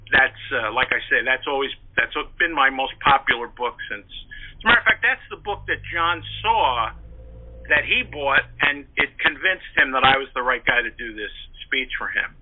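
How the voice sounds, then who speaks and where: A man speaking as a guest author and historian, explaining at length in an interview. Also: medium pitch. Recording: dull phone-like lo-fi line.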